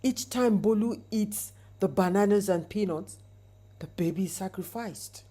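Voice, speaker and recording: Woman as a solemn, slow-spoken male pastor. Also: mid-range voice, close to an everyday voice. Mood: grave warning. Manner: solemn, quiet, deliberate. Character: grave, prophetic male village pastor giving solemn warnings.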